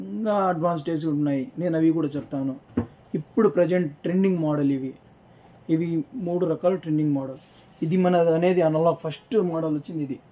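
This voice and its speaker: A man gives an informal, explanatory product demonstration, repeating points as he addresses viewers. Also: fairly high pitch. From South India. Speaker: presenter for a security systems shop. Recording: dull lo-fi sound with music behind.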